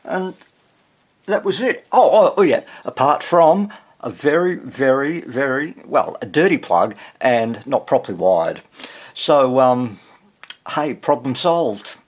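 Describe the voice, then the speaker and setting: A man's voice: medium pitch, lively intonation, slow. A man with an Australian accent chatting casually to camera about a repair, rambling and thinking aloud in an easygoing way. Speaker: host of a vintage radio repair channel.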